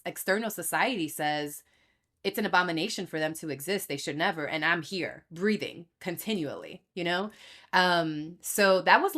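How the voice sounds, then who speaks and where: Young Dominican-born woman guest, low-pitched, candid and animated storyteller. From Dominican Republic. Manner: animated, acts out quoted voices, laughs easily. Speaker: podcast guest.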